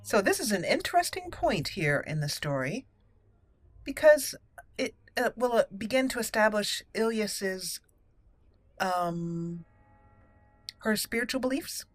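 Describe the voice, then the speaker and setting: A woman's voice: mid-range voice, big pitch swings, measured pace, pauses often, no echo. A woman hosting a gaming channel, giving roleplay commentary and musing aloud about the story. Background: game music.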